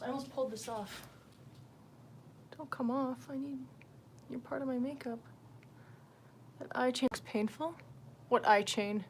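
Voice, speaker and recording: A woman's voice: high voice, a little hiss or hum. A woman hosting a livestream, chatting casually and off the cuff to viewers in a scattered, sweary way. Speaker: livestream host. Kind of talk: casual unscripted livestream chat.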